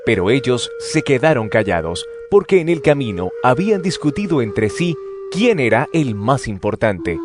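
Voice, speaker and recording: A man's voice: medium pitch, sing-song, moderate pace, over music. A man narrating scripture for an audio drama in a scripted storytelling style.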